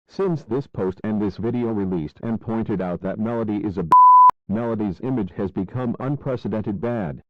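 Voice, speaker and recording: A man reading a formal emergency broadcast as the alert announcer, in a measured, official delivery. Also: on the low side, dry recording. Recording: muffled lo-fi audio with faint music.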